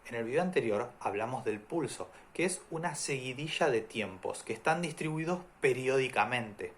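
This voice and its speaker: A man teaching music theory in a calm, methodical video lesson, walking through examples step by step. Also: mid-range voice.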